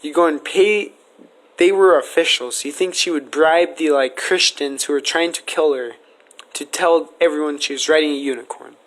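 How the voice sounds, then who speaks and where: A man's voice: fairly high pitch, moderate pace, a bit bright, poor audio. A man asking questions in a casual, conversational interview style. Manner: informal, conversational.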